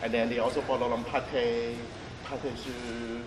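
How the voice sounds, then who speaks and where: A man talks through a market tour in a halting way, circling back on his words. His voice sits at an ordinary pitch but comes from a distance. The sound is echoey and roomy, with clear street and traffic noise behind him. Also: little pitch movement. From Vietnam. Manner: halting, many restarts and fillers, thinks aloud while explaining. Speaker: chef and tour guide. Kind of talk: informal guided-tour talk. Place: outdoors on a busy street near a market.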